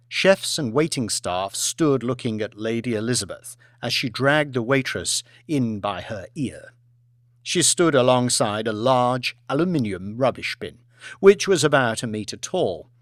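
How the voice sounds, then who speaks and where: A man's voice: mid-range voice, no echo, clean studio sound. A man narrating an audiobook in a dramatic, scripted storytelling style.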